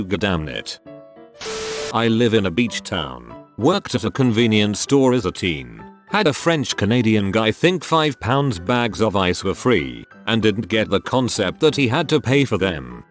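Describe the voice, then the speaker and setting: A man reading out online stories as scripted narration, in a lively, expressive storytelling manner, with faint music underneath. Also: fairly low pitch.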